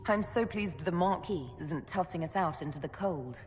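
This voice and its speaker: An actress as a low-voiced, earnest elven mage. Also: female voice, moderate pace, in a large hall, faint music behind, lightly acted. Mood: curious. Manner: polite, even-tempered. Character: calm, earnest young elven woman mage, a leader. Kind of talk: video game cutscene acting.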